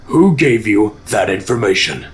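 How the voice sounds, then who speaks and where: An actor playing a stern, skeptical senior military commander, formal and authoritative, in an audio drama. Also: male voice, fairly low pitch, animated, measured pace.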